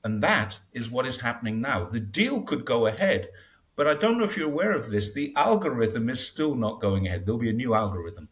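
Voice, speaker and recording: Animated man talking politics. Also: mid-range voice, brisk. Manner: animated, wry, acts out quotes with laughs. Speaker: interview guest. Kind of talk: casual conversational commentary.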